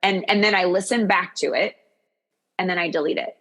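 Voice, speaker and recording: A woman's voice: lowish voice, fairly bright tone. A woman speaking as a coach and podcast guest, casual and confiding, often stopping to correct herself. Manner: halting, self-correcting, confiding. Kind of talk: casual, reflective podcast chat.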